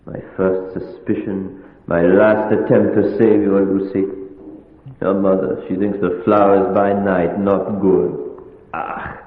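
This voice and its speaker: Deep, solemn vampire hunter. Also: male voice, little pitch movement. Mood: solemn, insistent. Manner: solemn, deliberate, commanding. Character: grave, insistent foreign professor, vampire hunter. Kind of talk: 1940s radio acting. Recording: tinny echoing old radio recording.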